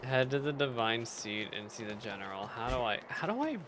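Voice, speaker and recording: A man's voice: mid-range voice, lively intonation, a little fast, noisy background. A man doing casual live gameplay commentary as a streamer, muttering offhand asides. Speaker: game streamer. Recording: game music behind.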